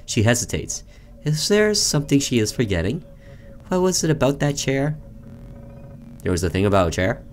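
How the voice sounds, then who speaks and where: A man's voice: medium pitch, sing-song, normal speed, pauses often, a little hiss or hum. A man doing let's play commentary, reading a visual novel aloud theatrically and breaking off for offhand asides.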